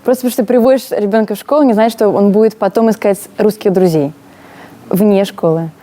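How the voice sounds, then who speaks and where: A woman with a mellow tone talks quickly and firmly. She states her points matter-of-factly in a documentary interview. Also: medium pitch.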